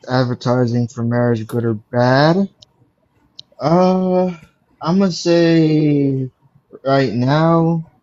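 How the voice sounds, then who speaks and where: A man in his twenties calling into a live stream, laying out his argument slowly with frequent fillers. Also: medium pitch, darkish tone. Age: 20s. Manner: measured, drawn-out argument with fillers. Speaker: caller. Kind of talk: debating on a call-in stream. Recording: dull lo-fi call audio.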